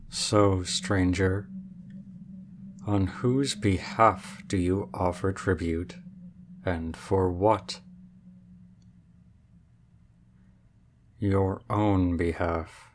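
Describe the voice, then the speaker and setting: Slow, deep, aloof dragon lord played by a man. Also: a little hiss or hum. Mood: cool, questioning. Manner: formal, measured, deadpan, stately diction. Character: aloof, regal male dragon in human form, proud and reserved. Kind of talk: ASMR roleplay audio drama.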